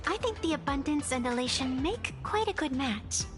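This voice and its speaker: A woman's voice: high-pitched, expressive, moderate pace. A woman voicing a playful, philosophical young female game character who teases coolly. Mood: reflective. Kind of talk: video game voice acting. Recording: faint game music behind.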